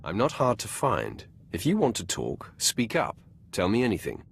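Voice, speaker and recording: Casting: cold game villain master, self-assured and terse, gravel in the voice, widely swinging intonation. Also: male voice, on the low side, wildly swooping pitch, normal speed, slight background noise, full character voice. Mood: cool, dismissive. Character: commanding male Sith lord, cold, domineering master. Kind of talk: dramatic video game voice acting.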